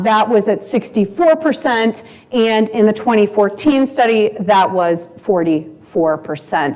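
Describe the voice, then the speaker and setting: A woman presenting survey results at a conference session, measured and thorough, pausing often with ums as she walks through slides. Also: medium pitch, darkish tone, low-quality recording.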